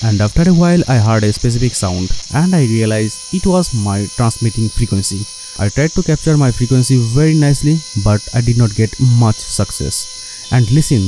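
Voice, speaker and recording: A man's voice: medium pitch, background noise. A man who speaks English as a second language, narrating a scripted DIY electronics tutorial and addressing viewers directly. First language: not English. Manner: non-native phrasing, addresses viewers as guys. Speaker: electronics hobbyist presenter.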